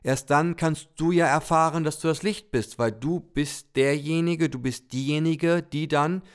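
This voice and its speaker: A man's voice: on the high side, a little slow, smooth, voice pushed up, natural voice. A man as a patient, kindly God. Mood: explaining. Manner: calm, gently explaining. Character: calm, kindly male God, patient and wise. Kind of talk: storytelling voice in a podcast.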